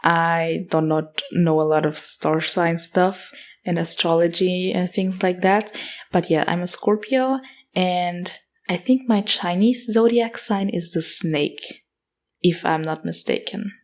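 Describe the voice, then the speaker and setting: A young woman from Austria with a German-accented English hosts her own podcast, reading out listener questions and answering them one after another. Her voice sits a little lower than most women's, and she talks in an easygoing way, dotted with ums, likes and quick restarts. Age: 20s. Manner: chatty, with frequent fillers and self-corrections. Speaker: podcast host. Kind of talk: casual solo podcast Q&A.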